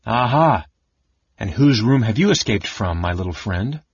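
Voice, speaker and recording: A man playing a stern, commanding mage in a fantasy storybook, with a booming, demanding delivery. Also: animated, normal speed, husky, no echo, dull audio. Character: stern, booming red-robed male mage.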